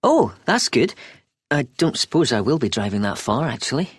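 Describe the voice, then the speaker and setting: A woman's voice: very deep voice, big pitch swings, moderate pace, husky, no room sound, studio quality, full character voice. A woman playing an agreeable, inquiring customer planning a trip in a scripted language-test dialogue, a little hesitant and questioning. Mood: pleased, agreeable.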